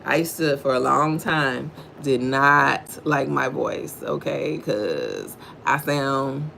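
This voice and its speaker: A middle-aged woman from Houston with a Texan accent and a deep voice, talking low and slow as she reads a devotional and greets viewers on her livestream. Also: expressive, gravelly, a bit bright, slight background noise. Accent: Southern US, Texan. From Houston, Texas, USA. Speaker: livestream host. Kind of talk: devotional reading and casual chat with live viewers.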